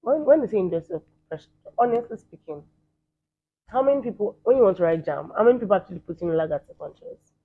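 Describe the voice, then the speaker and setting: A Nigerian man in the entertainment field giving quick, emphatic opinions in a casual interview. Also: high voice, lively intonation. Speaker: guest, entertainer. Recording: thin phone-like sound.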